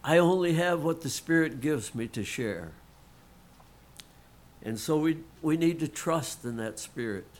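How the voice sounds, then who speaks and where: A middle-aged man, a lay pastor, preaching a sermon in an earnest, folksy way, with a frog in his throat that makes him clear it now and then. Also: fairly high pitch, animated, a little slow.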